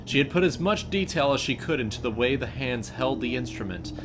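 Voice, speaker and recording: A man's voice: mid-range voice, lively intonation, fairly quick, background noise. A man reading scripted story narration as the narrator of an audio drama.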